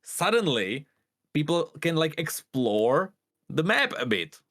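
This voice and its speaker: Animated man, insistent podcast chatter. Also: a little high, slow. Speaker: podcast panelist. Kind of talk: casual gaming podcast chat.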